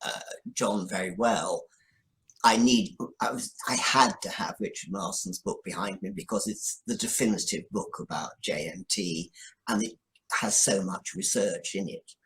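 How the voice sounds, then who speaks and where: A man's voice: on the low side, moderate pace, gravelly, a bit bright, rough recording. Male writer in interview, hesitant delivery. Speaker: guest, scriptwriter. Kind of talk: interview answer, conversational storytelling.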